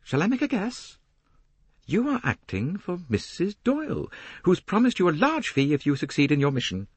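A man's voice: fairly high pitch, wildly swooping pitch, moderate pace, husky, dry recording, voice pushed up, heavily acted. A man voicing a bitter, desperate young woman with a hard-edged, intense manner, in an audiobook novel. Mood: wry, abrupt.

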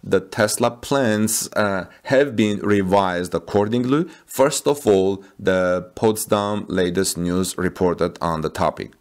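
A man reading out and commenting on news updates, with frequent fillers and restarts. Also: low voice. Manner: halting, with many fillers and restarts.

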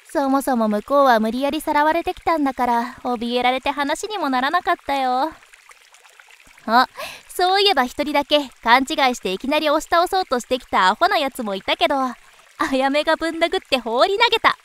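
A woman playing a cheeky, tomboyish young beast-woman in a voice drama, blunt, casual and expressive. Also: high-pitched, fairly quick. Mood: grumbling. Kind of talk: voice drama acting.

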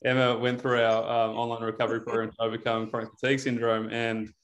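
A man's voice: medium pitch, level tone, fast talker, fairly bright tone. A man in his thirties interviewing a guest over a video call, chatty with lots of fillers and laughs. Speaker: interviewer, recovery program coach. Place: indoor room.

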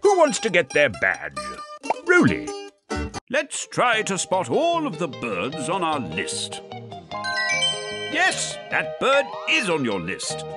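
A woman narrates a children's game slowly and patiently, her voice leaping all over the scale as she praises and encourages. The game audio has loud, bouncy music underneath her. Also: sits low, wildly swooping pitch. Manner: encouraging, praising, sing-song. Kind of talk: scripted narration for young children.

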